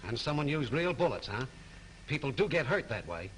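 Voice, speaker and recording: Brief: a wisecracking homicide cop, mid-pitched and dry, on a faintly hissy old film soundtrack. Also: male voice, big pitch swings, brisk, fairly bright tone, low-quality recording. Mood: sardonic. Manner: dry, sardonic questioning. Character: sardonic male police lieutenant, wry and skeptical. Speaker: homicide detective. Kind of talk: 1930s film acting.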